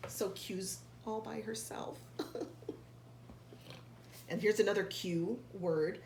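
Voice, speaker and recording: Unhurried woman reading to children in echoey room. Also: medium pitch, fairly even intonation, a little mellow. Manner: didactic, enunciates and sounds out key words for children. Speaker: teacher reading a picture book aloud to young children. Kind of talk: read-aloud storytelling with explanatory asides. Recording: echoey room, faint background noise.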